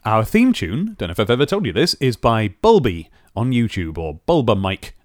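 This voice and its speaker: Male podcast host doing the outro, lowish, lively, dry close mic, offhand. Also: dry recording. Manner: offhand, quick. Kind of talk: outro read.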